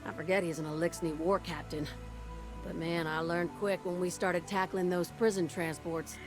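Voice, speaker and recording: Folksy, low-voiced woman fighter pilot, played by an actress. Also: steady tone, normal speed, music in the background, lightly acted. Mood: fond, chatty. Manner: folksy, informal, plain-spoken. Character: tough warm-hearted female fighter pilot, folksy and plain-spoken. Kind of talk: video game character dialogue.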